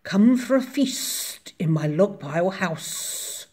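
A woman voicing a sly, slithering storybook snake with slow, drawn-out words. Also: mid-range voice, lively intonation, a little mellow, husky. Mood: sly, coaxing.

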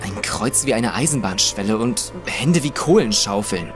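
A man's voice: fairly low pitch, animated, moderate pace, music in the background, close to an everyday voice. A young man playing a plain-spoken, guarded working-class lad in a radio drama. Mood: confiding. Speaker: witness.

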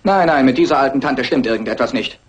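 An actor playing an edgy, suspicious hotel guest in a German radio crime play. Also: male voice, medium pitch, fast, noisy background, lightly acted.

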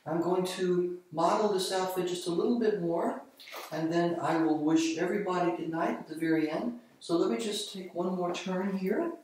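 A calm, unhurried voice talking through a Zen teaching in a slow, meditative way. It is heard from well away from the microphone, and the sound bounces hard around a small, bare indoor room. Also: mid-range voice. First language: not Japanese. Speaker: vlogger. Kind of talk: reflective monologue on a Zen koan. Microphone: far camera on the floor. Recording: very echoey room, voice from far off.

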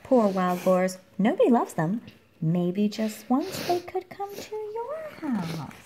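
A woman reading a picture book aloud to a child at home, expressively, with theatrical emphasis and dramatic pauses. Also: on the high side, huge pitch swings, mellow. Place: indoor room at home. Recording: home recording with clear background noise, clicks.

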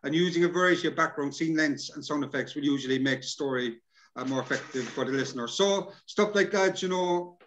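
A man's voice: on the high side, a little fast, fairly bright tone, a little hiss or hum. A middle-aged Irish man giving an informal, chatty workshop talk over a video call, often adding "you know". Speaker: workshop presenter. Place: indoors, plain room on video call. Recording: dull lo-fi video call audio.

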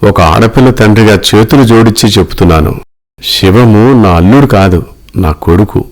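A man voicing a wealthy middle-aged businessman father in a cartoon story, measured, earnest and paternal. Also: low-pitched, a little mellow. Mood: earnest, emotional.

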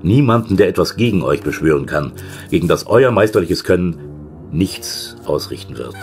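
Audio drama villain: ancient sinister occultist, very low, swooping delivery, archaic and formal, music underneath. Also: male voice, sing-song, darkish tone. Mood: grave warning. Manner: formal, archaic, deliberate diction. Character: sinister centuries-old male occultist dictating a secret letter. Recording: music behind the voice.

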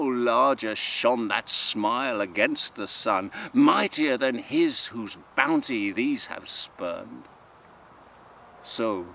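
Male poetry reader, mid-pitched, slow and dramatic delivery, solemn, recorded outside with light ambient noise. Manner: measured, with long pauses and swells from hushed to forceful. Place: outdoors in open countryside.

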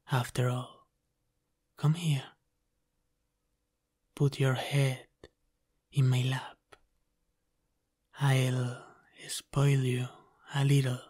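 Man voicing a slow, soft, teasing male demon. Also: medium pitch. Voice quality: soft, breathy, often half-whispered. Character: flirty, dominant, teasing male demon from the underworld. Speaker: ASMR roleplay performer. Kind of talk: ASMR roleplay, soft and intimate.

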